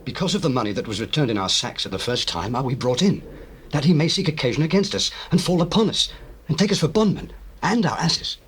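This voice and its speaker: An actor with a husky voice playing an anxious, humble Hebrew spokesman, protesting earnestly in dramatized scripture. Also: on the high side, animated, quick pace, fairly bright tone, slight background noise. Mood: fearful. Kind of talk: dramatized scripture, stage acting.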